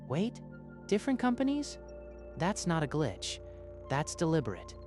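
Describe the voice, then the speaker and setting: A man's voice: fairly high pitch, wildly swooping pitch, unhurried. A skeptical, practical friend acted by a man in a manga dub, with a fairly light male voice. He talks in a conversational, questioning way, with music underneath. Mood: alert, advising. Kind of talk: scripted manga dub acting.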